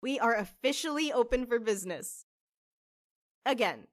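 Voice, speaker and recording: A woman's voice: a little high, animated, moderate pace, no room sound, natural voice. A woman playing an earnest, hopeful young witch who runs a cafe, in a conversational audio drama style. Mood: upbeat.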